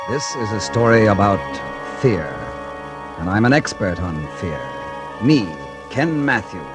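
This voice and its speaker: A hard-bitten ex-convict telling his story in a wry, world-weary voice, with a lively rise and fall in his delivery. It is old radio drama, and music plays clearly under him. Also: on the low side, unhurried. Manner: wry, hard-bitten first-person narration. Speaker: narrator and lead character. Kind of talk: 1940s radio acting.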